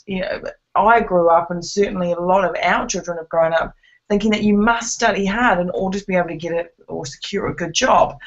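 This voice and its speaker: A woman presents on a webinar, her voice a little croaky from a cold. She talks earnestly and persuasively, dotting her pitch with ums and uhs. The call audio is muffled and lo-fi. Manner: earnest, persuasive, many fillers. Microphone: conference call line.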